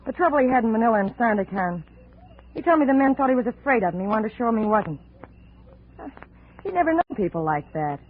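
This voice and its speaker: A woman's voice: fairly high pitch, big pitch swings, fast talker, pauses often. An actress playing a fiercely loyal, defiant woman in a 1950s radio drama, with an intense, melodramatic delivery. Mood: quiet, determined. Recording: scratchy, narrow-band 1950s radio recording.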